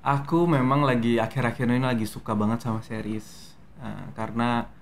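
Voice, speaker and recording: A young man from Medan with a softened Medan Indonesian accent, a guest actor telling his story in a relaxed, hesitant way with many fillers. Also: mid-range voice, moderate pace, slight background noise. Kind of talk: casual interview talk.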